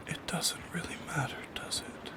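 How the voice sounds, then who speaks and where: An ASMR performer voicing a lonely, wistful shadow creature that haunts at night, musing slowly and hesitantly in a soft, breathy whisper. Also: lightly acted. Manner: slow, hesitant, philosophical musing. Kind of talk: soft-spoken ASMR monologue.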